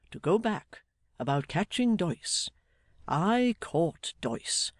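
A man voicing a jolly, blustering older English gentleman in an audiobook, fatherly, emphatic and effusive. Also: high voice, no room sound, studio quality, voice pushed up. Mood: jovial, bustling. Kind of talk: dramatised audiobook character.

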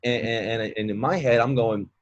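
A man's voice: medium pitch, fairly even intonation, moderate pace, lo-fi sound. A man in his late twenties, a mindset coach and guest, talking casually in a rambling way with many fillers and repeated words. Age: 20s. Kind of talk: casual podcast conversation.

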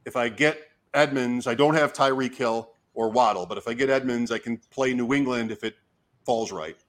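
A man, hoarse from talking, hosting a casual fantasy sports show and commentating in short, fragmented phrases as he thinks out loud. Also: mid-range voice, moderate pace. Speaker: fantasy football show host giving draft advice. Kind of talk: casual talk, live draft commentary.

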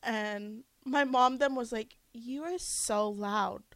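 A woman co-hosting a casual chat show, an animated storyteller with a loud voice. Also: a little high, slow pace, no echo. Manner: animated storyteller, says she has a loud voice. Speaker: co-host.

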